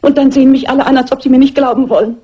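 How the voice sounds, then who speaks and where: Timid female companion: very husky and breathy, anxious, hesitant. Old crime-film dub, muffled. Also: high voice, fairly even intonation, very fast. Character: timid, frightened lady companion confiding what she overheard. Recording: dull, lo-fi old film soundtrack.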